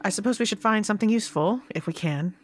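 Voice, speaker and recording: A woman's voice: medium pitch, fast. A woman talking casually as a player in a tabletop role-playing game.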